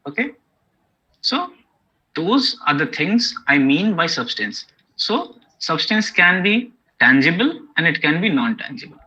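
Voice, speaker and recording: A man with an Indian English accent lectures in a very bright, slightly husky voice, pitched a touch high. He explains point by point and keeps checking in with "okay?" and "you know". The recording sounds dull and lo-fi. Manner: explanatory, many okays and you-knows. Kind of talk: academic lecture.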